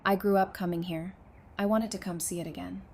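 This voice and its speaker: A woman's voice: mid-range voice, fairly even intonation, brisk, darkish tone, natural voice. A woman playing a tender but determined wife in an apocalyptic audio drama, earnest and plainspoken. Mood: wary. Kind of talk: full-cast audio drama acting.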